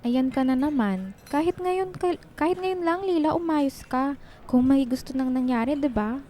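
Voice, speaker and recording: Woman acting a high, mellow-voiced frank best friend. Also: lively intonation, normal speed, a little hiss or hum. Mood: scolding, teasing. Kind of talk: radio drama acting in Tagalog.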